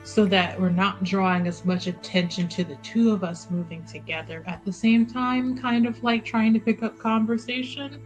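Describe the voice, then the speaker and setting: A woman playing in a tabletop game, chatty and giggly, thinking her plans out loud. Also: medium pitch, fairly even intonation, normal speed, hardly any pauses, faint music behind, poor audio. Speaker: player. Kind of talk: tabletop roleplay chat.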